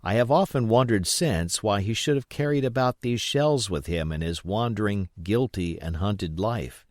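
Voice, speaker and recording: A man reading an adventure novel aloud as expressive scripted narration. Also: lowish voice, moderate pace, no room sound, studio quality. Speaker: audiobook reader.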